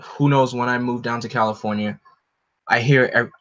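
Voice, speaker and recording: A young man with a deep voice hosting a casual livestream, rambling with lots of fillers and answering his chat. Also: steady tone, moderate pace, fairly bright tone. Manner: rambling, lots of "like" and "you know". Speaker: livestream host. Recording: dull lo-fi stream audio.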